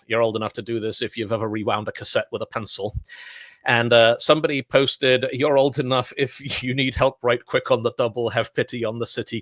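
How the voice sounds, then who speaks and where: A man's voice: mid-range voice, dry recording. A man co-hosting a casual podcast, rambling through anecdotes and acting out quotes. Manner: rambling storyteller, animated quotes. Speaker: podcast co-host. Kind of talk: casual chat.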